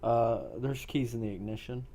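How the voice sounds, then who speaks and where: A man's voice: mid-range voice, steady tone, normal speed, a crowd in the background. A man running a role-playing game, narrating scenes off the cuff in a matter-of-fact way. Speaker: game master.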